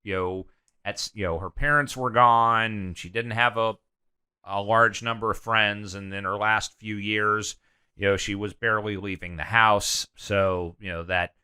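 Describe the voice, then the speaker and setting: Middle-aged male guest, bright and crisp tone, loud and animated, many fillers. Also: on the low side, measured pace. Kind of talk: candid conversational interview.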